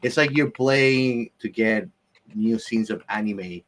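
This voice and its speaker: A man with a fairly low voice co-hosts a casual podcast. He gives enthusiastic commentary and stresses his points by repeating words. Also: slow, a bit bright. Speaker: podcast co-host.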